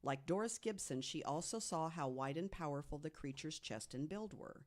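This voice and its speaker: A podcast panelist tells a researched true story to co-hosts at an average pitch. The delivery follows written notes, with small slips corrected along the way. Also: expressive, normal speed.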